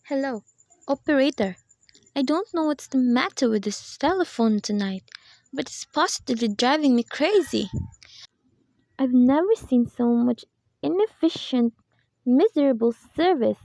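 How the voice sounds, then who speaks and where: A woman's voice: high-pitched, lively intonation, normal speed, darkish tone, no echo. A woman playing a nervous, irritable bedridden woman in a radio play, querulous, demanding and melodramatic. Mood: irritated, indignant.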